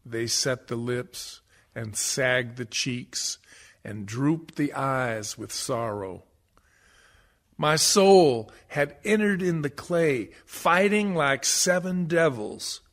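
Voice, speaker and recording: A man's voice: a little high, drawn-out words, fairly bright tone, exaggerated voice. A man playing a tormented, guilt-ridden husband in a radio play, delivering a brooding verse monologue with deliberation.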